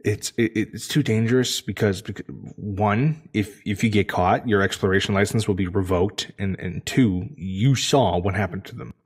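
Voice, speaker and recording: A man's voice: fairly low pitch, moderate pace, few pauses, breathy edge. A man acting an anxious, cautious former security spacefarer in a sci-fi audio drama, stammering and repeating himself. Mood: fearful, insistent.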